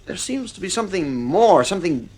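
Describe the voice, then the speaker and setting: A man's voice: sits high, big pitch swings, a little fast, mellow, husky, full character voice. An actor playing a stern, worried father in a 1950s radio drama, firm and weary in manner.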